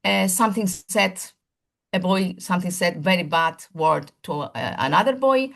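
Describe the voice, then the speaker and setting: Woman with a creaky voice presenting a seminar. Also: fairly low pitch, moderate pace, fairly bright tone. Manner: earnest and searching for words, with frequent restarts; formal lecture phrasing with emphatic lists. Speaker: primary school teacher presenting a seminar.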